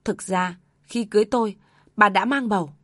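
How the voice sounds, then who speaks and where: Elderly ex-soldier father, calm and grieving, read by a woman. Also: mid-range voice, no echo, clean studio sound, natural voice. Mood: sorrowful. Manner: calm, heavy, measured. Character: elderly father, a former soldier, sorrowful and steady.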